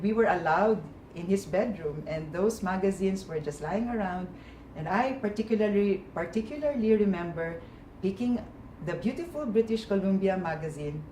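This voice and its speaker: A woman from the Philippines calmly telling a personal story of her past. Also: medium pitch. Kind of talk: personal story told to a group. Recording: roomy with faint noise.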